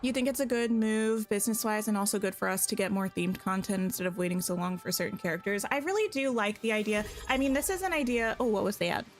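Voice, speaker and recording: A chatty woman streaming a game, greeting viewers and thinking aloud in a relaxed, everyday way. Her voice is a little scratchy today, and faint game music plays underneath. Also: medium pitch, quick pace, hardly any pauses. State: slightly scratchy voice. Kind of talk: casual live-stream chat while playing.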